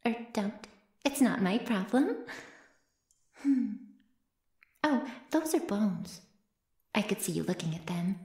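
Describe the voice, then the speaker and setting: A woman's voice: mid-range voice, animated, slow, long pauses, a little mellow. A woman playing an ancient, smugly teasing female vampire in an intimate ASMR roleplay, murmuring coyly and often slipping into a whisper. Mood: wry, casual, then smugly menacing. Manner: coy, breathy murmurs that often drop to a whisper. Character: ancient predatory female vampire, playfully menacing, smugly teasing her captive. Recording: roomy cave-like echo.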